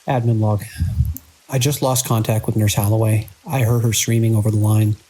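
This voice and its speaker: A man playing a weary, alarmed hospital director in an audio drama, speaking grave, deliberate log entries in clipped sentences. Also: fairly low pitch, little pitch movement, warm tone, natural voice. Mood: grim, worried. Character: weary, alarmed hospital director recording logs during an outbreak.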